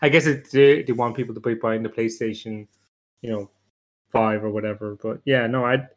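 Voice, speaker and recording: A man's voice: medium pitch, steady tone, normal speed. A man in casual, joking panel chat, bantering and sarcastic, with the odd swear word. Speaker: podcast panelist.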